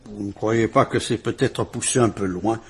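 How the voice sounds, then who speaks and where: A measured police commissioner. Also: male voice, on the low side, fairly even intonation, husky. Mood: questioning. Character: calm police commissioner questioning a witness. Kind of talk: 1950s French radio drama acting.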